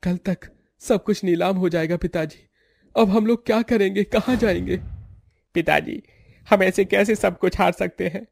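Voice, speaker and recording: A man's voice: high voice, moderate pace, vocal fry, darkish tone, dry recording, studio quality. A man voicing an earnest, troubled young son, protective of his family, in a cartoon story. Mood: worried.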